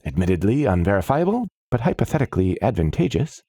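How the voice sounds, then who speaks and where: A man's voice: lowish voice, sing-song, fast, vocal fry, warm tone, breathy edge, no room sound, clean studio sound, pitched above the performer's own voice, exaggerated voice. A man voicing an eager, long-winded woman scientist in a fantasy story, with precise, pedantic wording. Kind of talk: fantasy audiobook character.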